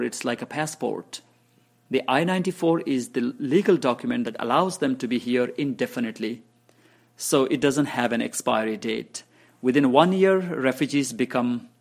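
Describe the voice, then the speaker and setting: A man from Bhutan whose first language is Nepali, giving measured interview answers about his refugee resettlement work. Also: mid-range voice, a little mellow. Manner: measured, explanatory, counts points off in order.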